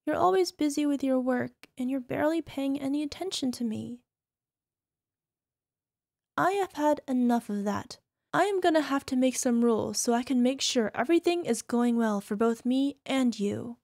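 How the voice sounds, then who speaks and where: A woman's voice: high-pitched, moderate pace, gravelly, no echo, clean studio sound. A woman playing a sweet, menacing yandere wife. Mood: possessive. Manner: sweetly coaxing, turning quietly menacing. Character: possessive yandere young wife, sweet but controlling. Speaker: voice actress. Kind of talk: ASMR roleplay audio drama.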